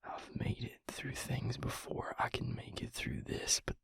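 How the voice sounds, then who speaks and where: A man playing a grieving, guilt-ridden vampire confiding in his mate in an intimate audio roleplay, halting and hushed, with a breathy, husky voice. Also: mid-range voice, very animated, dry recording, studio quality, full character voice. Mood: subdued, sorrowful. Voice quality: breathy, husky, gravelly near-whisper.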